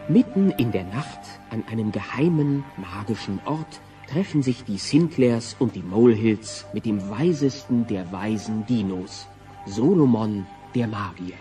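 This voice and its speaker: Lively, dramatic story narrator. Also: male voice, fairly low pitch, unhurried. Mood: mysterious. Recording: music behind the narration.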